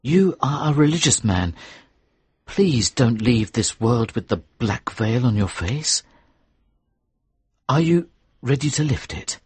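A man voicing a frightened young reverend who pleads at a deathbed, rising to a shrill cry. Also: big pitch swings, takes it slowly, pauses often, darkish tone, breathy edge, dry recording, exaggerated voice. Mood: pleading.

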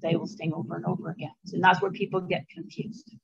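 Older woman with a gravelly, husky voice. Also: fairly low pitch, level tone, quick pace. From Canada. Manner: chatty, anecdotal, with frequent fillers and asides. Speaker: beekeeper giving a presentation. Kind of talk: casual informal talk over slides. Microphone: video call webcam. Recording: dull lo-fi video call audio.

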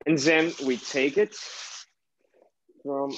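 A young man on a video call, speaking a little high for a man and halting a lot between words. The sound is muffled, like laptop call audio. He is chatting casually from his home kitchen. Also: steady tone, a bit bright, slight background noise. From Kazakhstan. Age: young adult. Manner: halting, many fillers and restarts. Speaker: host. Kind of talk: casual cooking and dance chat on a video call. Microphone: laptop webcam on a video call.

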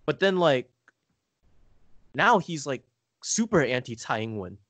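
A man telling family stories casually as a podcast guest, halting and rambling, saying "like" often. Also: medium pitch, sing-song, a bit bright, no room sound. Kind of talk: casual conversational storytelling.

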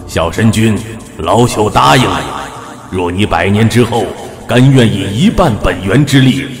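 An aged immortal guardian in a radio drama, calm and quietly commanding. His voice sits very low and moves slowly. It rings out with a long, echoing reverb. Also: soft background music. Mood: amused. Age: older. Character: old male immortal guardian, calm and knowing. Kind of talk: audio drama acting.

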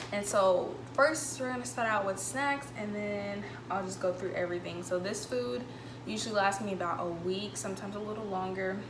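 Chatty young woman in an echoey kitchen. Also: medium pitch. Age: young adult. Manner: informal, chatty, conversational. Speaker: college student vlogger. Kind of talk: casual vlog chat, showing groceries. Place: small home kitchen, indoors. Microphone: camera a couple of metres away. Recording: echoey room with background noise.

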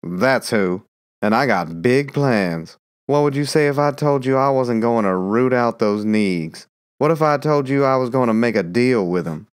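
A woman's voice: rumbling low, expressive, measured pace, smooth, voice pushed down. A woman voicing a boastful, scheming man who talks in a brash, folksy way.

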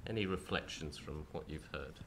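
A man with a cold, chairing a panel discussion in a lecture hall, speaking in a croaky, hesitant, measured way. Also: very deep voice, fairly bright tone, a little hiss or hum.

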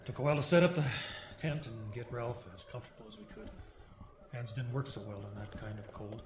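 A weathered male survivor voiced by an actor tells his grim tale in a radio horror play. His delivery is solemn and folksy, with halting, clipped sentences at a middling pitch. The room is echoey, with clear background noise. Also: close to an everyday voice. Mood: somber. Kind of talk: radio horror drama monologue.

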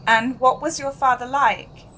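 Inquisitive journalist, high lively voice, faint outdoor noise behind. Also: female voice, measured pace. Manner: inquisitive, questioning. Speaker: actor in an audio drama. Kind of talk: audio drama acting.

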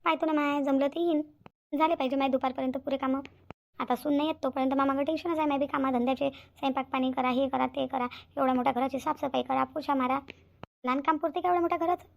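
Woman as fussy, overworked cartoon mother, middle-aged, high creaky voice, Varhadi Marathi accent, complaining chatter. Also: fairly even intonation, fast talker. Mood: weary complaining. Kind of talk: cartoon voice acting.

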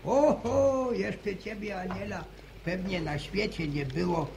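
A gravelly old village grandfather. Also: sits high, darkish tone, exaggerated voice. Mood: stubborn. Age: older. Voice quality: gravelly, halting restarts. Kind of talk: 1950s radio drama acting. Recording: noisy old radio broadcast recording.

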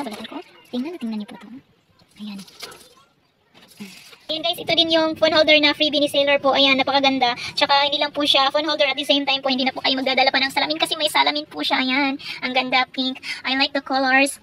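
Female Filipino unboxing vlogger: high, bright voice, chatty and enthusiastic, noisy home recording. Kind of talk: casual product unboxing vlog. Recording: home recording with background noise.

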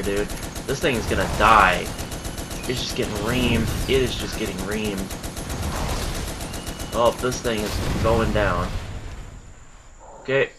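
Man, stuffy nose, lowish voice, casual gaming commentary, chatty, self-deprecating, gunfire and game noise behind. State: stuffy nose, just woke up. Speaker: gaming channel host.

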